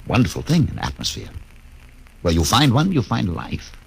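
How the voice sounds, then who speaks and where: An actor playing a calm, thoughtful male ship's doctor in a 1950s radio drama. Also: on the low side, moderate pace, vocal fry, slightly rough, exaggerated voice, low-quality recording. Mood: musing.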